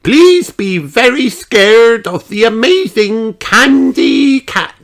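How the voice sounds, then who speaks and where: A man voicing a cheeky, excited little girl pig in a children's storybook, eager and proclaiming. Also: very high-pitched, slow pace, pitched above the performer's own voice, full character voice. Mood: proud, announcing.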